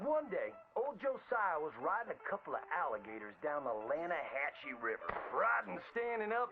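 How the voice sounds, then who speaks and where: A man's voice: sits high, narrow, tinny audio. An actor playing a folksy, theatrical frontier showman with a Southern American drawl, narrating boastful tall tales in a video game. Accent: American Southern, old frontier drawl. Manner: hammy, folksy, exaggerated storyteller delivery. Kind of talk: theatrical old-time storytelling narration. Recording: band-limited old-radio sound with prominent music. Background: music.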